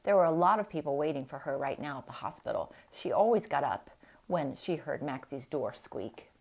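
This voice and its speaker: A woman reading a storybook aloud in an expressive style, with deliberate, dramatic phrasing. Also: sits low, gravelly. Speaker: storybook reader.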